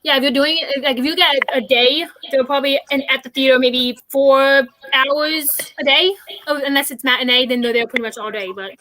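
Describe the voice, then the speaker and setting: A woman's voice: high-pitched, steady tone, a little fast, hardly any pauses, bright, forceful tone, no room sound, rough recording. A woman co-hosting a casual chat show on a video call, rambling with many fillers and restarts. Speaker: co-host.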